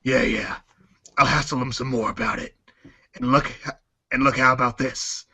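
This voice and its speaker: Man playing a sharp, husky sarcastic teen boy. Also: medium pitch, moderate pace, exaggerated voice. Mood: sardonic, cocky. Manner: deadpan slangy sarcasm. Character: cocky, sarcastic foul-mouthed teenage boy. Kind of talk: read-along storybook fan dub.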